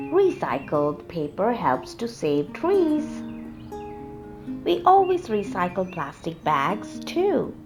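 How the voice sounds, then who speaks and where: A woman reading a children's storybook aloud with expressive, deliberate, sing-song emphasis over music. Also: fairly low pitch. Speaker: storybook reader. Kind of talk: animated children's read-aloud narration. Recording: music playing behind the voice.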